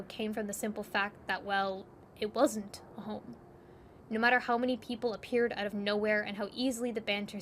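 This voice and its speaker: A woman reading scripted second-person story narration for an audio drama, with background noise. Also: mid-range voice.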